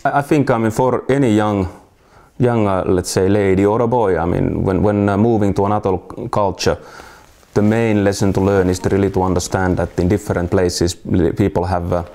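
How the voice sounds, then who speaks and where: A man speaking in an interview about hiring people, measured and halting, with restarts. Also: sits low, steady tone, warm tone. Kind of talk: documentary interview.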